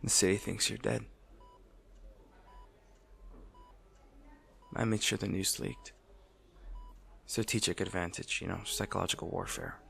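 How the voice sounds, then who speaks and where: A man playing an anguished, possessive villain in an intimate audio roleplay monologue, halting and brooding, his gravelly voice often slipping toward a whisper. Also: low-pitched, fairly even intonation, a bit bright, faint music behind, heavily acted. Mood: possessive, grieving. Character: anguished, possessive male villain at rival hero's bedside.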